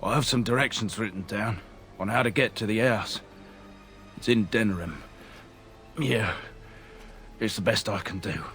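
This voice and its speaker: An actor playing a defeated mercenary killer in a fantasy game, rough, wry and grudging as he bargains. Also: lowish voice, normal speed, long pauses, fairly bright tone, faint music behind. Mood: placating. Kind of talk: video game dialogue acting.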